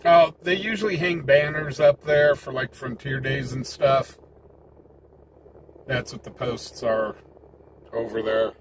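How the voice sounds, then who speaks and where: A man's voice: mid-range voice, fairly even intonation, slow, long pauses. An American man chatting casually and folksily as host of a live stream. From United States. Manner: folksy, chatty, greeting viewers by name. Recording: clear background noise, vehicle sounds. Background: vehicle and ambient noise.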